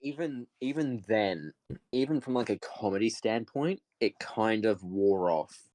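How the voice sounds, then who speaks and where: A man in his late teens co-hosting a podcast with jokey, deadpan, mock-boastful banter. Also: on the low side, a little slow, dry recording. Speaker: podcast co-host. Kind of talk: casual comedic banter.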